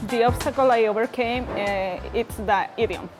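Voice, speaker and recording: A woman from Peru speaks English with a Spanish accent, her first language being Spanish. She pauses often with "uh" and "um" and builds her sentences in a non-native way. Music plays clearly beneath her voice. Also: fairly high pitch. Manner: hesitant, many fillers, non-native phrasing. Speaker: trades program student. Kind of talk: testimonial interview. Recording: music playing underneath.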